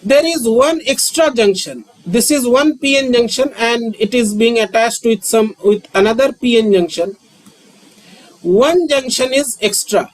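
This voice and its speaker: A man, lecturing on physics and repeating key terms with frequent pauses. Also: very high voice, poor audio. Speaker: physics teacher. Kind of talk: slow, repetitive classroom lecture.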